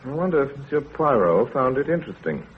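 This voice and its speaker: Pompous, sardonic doctor on tinny radio. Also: male voice, mid-range voice, sing-song, fast, slightly rough. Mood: testy, sardonic. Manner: sardonic, clipped, haughty. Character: dry, pompous, irritable English village doctor, middle-aged man. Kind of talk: 1930s radio acting. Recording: tinny, narrow 1930s radio broadcast.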